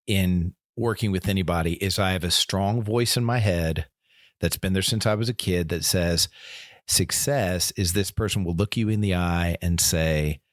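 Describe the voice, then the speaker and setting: A man with a lowish voice talks earnestly on a faith podcast, often restarting his sentences and slipping into quoted voices. The sound is dry and close, with no room around it. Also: normal speed, no room sound. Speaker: podcast co-host. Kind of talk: casual faith discussion.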